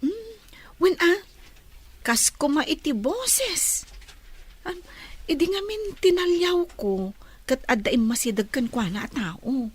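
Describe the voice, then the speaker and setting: An actress plays a curious young woman, a thoughtful best friend. Her voice is very high and swings widely, with slow, hesitant, musing radio drama delivery. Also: animated. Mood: puzzled, musing.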